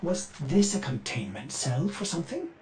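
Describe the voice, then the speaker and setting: A man's voice: a little high, huge pitch swings, moderate pace, background noise. An actor playing a fussy, anxious male ship computer and eager servant in a video game, with a hesitant, theatrical delivery. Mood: alert, curious. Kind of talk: video game character dialogue.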